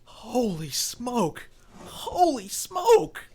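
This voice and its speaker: A grumbling young barkeep played by a man for a radio drama. He is high-voiced and slow-paced, with swoopy, folksy delivery and nerves that rattle easily. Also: huge pitch swings, mellow, heavily acted. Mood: shocked. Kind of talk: radio play acting.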